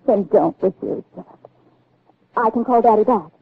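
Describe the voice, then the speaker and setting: Coaxing, husky-edged young woman. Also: mid-range voice, moderate pace. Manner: pleading, insistent persuasion. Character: coaxing, insistent young woman, scientist's devoted daughter. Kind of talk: 1960s radio drama acting. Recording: dull, band-limited vintage radio recording.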